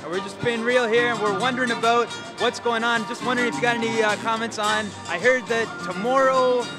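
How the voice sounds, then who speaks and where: A man with a high voice asks questions as a casual interviewer. His delivery is tentative and halting, and music plays behind him. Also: steady tone.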